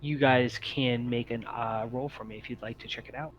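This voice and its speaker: A man acting as game master in an online tabletop game, narrating scenes in a halting, improvised way. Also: medium pitch, steady tone. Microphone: online voice call. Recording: muffled, noisy online voice chat.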